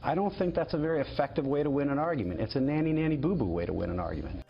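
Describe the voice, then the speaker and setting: A man's voice: on the high side, big pitch swings, rapid-fire, gravelly. Man, argumentative TV commentary, dull broadcast audio, music underneath. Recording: dull TV clip, music bed.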